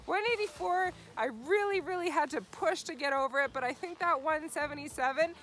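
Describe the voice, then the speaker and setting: A woman's voice: high voice, fairly quick, fairly bright tone. A woman giving an upbeat ski review outdoors on a snowy mountain. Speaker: ski tester. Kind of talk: casual gear review.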